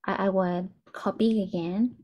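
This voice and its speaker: A woman whose first language is Burmese, moderating an online class in halting English. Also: mid-range voice, steady tone, darkish tone. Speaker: webinar moderator and interpreter. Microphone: video call.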